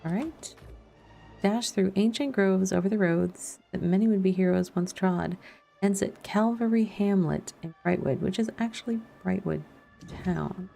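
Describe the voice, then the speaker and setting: Creaky, mellow woman streaming a game. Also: medium pitch, a little slow. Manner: thinking aloud, muttering to herself. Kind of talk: casual let's-play commentary. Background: chill background music and game sounds.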